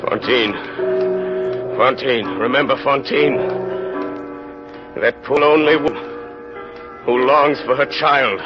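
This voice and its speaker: An actor playing an anguished mayor who hides a convict past, in halting, brooding radio drama soliloquy. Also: male voice, medium pitch, lightly acted. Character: anguished mayor hiding his convict past, wrestling his conscience. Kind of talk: 1930s-40s radio drama acting. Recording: muffled vintage radio recording, faint music.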